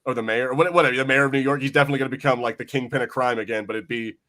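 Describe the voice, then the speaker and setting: Guy hosting a geeky podcast, talks really fast, excitable and swears a lot, mid pitch voice. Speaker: podcast host. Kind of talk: casual pop-culture podcast banter.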